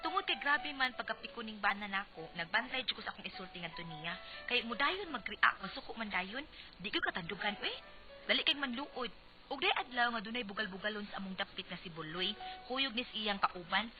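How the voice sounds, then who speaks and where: A woman acting an exasperated, teasing wife in a radio comedy drama, with a Cebuano accent and an animated, nagging manner. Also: mid-range voice, fairly quick, close to an everyday voice. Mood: weary, confiding. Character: teasing, exasperated wife of a touchy husband, narrating her story. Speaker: radio drama actress and narrator. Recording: dull lo-fi radio, faint music.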